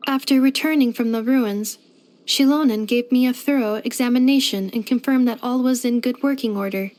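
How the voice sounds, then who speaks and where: A woman's voice: on the high side, darkish tone, lightly acted, poor audio. A woman voicing a polite, earnest female robot in a video game, speaking formally and precisely. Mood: gentle, nostalgic. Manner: formal, precise, measured delivery with clinical machine-like phrasing. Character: polite female robot maid, earnest, gentle and literal-minded. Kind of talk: scripted video game character voice lines.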